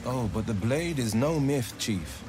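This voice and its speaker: A man voicing a gallant folk-hero swordsman in a fantasy game, speaking grandly and with measured poise. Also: medium pitch, lively intonation, over music, natural voice. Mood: grand, genial. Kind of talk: video game dramatic acting.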